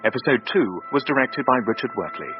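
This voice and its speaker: A man's voice: mid-range voice, lively intonation, quick pace. Male continuity announcer, scripted credit read, muffled radio sound over prominent music.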